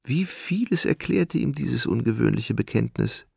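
A man narrating a literary radio drama in a measured, grave manner. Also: medium pitch, lively intonation, vocal fry, darkish tone, breathy edge, no echo, studio quality.

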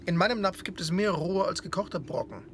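An actor playing a self-important, well-born expedition quartermaster in a radio play. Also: male voice, high voice, lively intonation, brisk, a little hiss or hum. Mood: wheedling.

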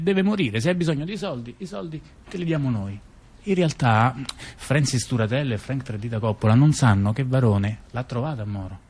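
A man's voice: mid-range voice, a little mellow. A man spins a rambling tale for a radio theater piece. His talk is chatty and digressive, and he keeps breaking off for asides and fillers. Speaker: theater performer. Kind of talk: theatrical storytelling dialogue on radio.

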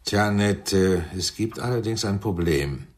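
A shrewd, low-voiced American showbiz manager in a radio drama, played by a man. He is smooth and unctuous, weighing his words with deliberate hesitations and a show of regret. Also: close to an everyday voice. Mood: feigned regret. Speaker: actor in a radio play. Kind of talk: German radio drama acting.

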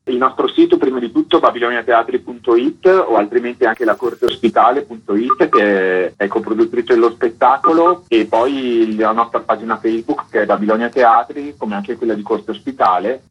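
Man, theatre-maker interviewee, husky mid-pitched voice, explanatory delivery, dull lo-fi recording. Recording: dull, muffled radio interview sound.

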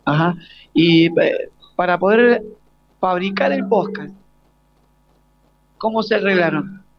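A man's voice: high voice, slow, creaky. An Argentine man hosting an informal live radio interview, asking hesitant questions with repeated starts. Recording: slightly dull, lo-fi live broadcast.

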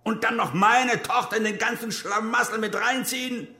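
A man's voice: high voice, moderate pace, bright, forceful tone. An actor playing a stern, agitated older army major and father in a radio crime drama. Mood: agitated reproach. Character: stern, agitated East German army major, father, recounting his past.

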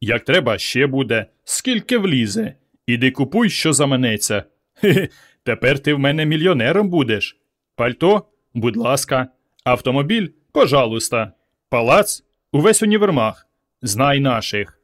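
A man voicing a teenage village son in a story, a cheeky lad quick to boast or whine. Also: mid-range voice, big pitch swings. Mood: boastful. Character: cocky teenage village son who turns whining and pleading.

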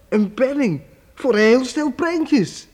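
An actor playing a stammering, eager young wanderer in a radio drama. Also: male voice, very high voice, animated, slow, darkish tone, exaggerated voice. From Bruges.

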